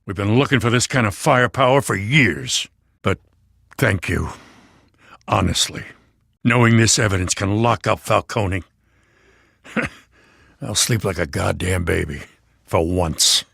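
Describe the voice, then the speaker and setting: A weary, low-voiced police lieutenant. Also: male voice, unhurried, vocal fry, long pauses, a bit bright, slightly rough, dry recording, clean studio sound, heavily acted. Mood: weary, earnest. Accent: American. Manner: plain-spoken, earnest, colloquial. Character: weary, principled male police lieutenant, a father. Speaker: voice actor. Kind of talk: video game dramatic dialogue.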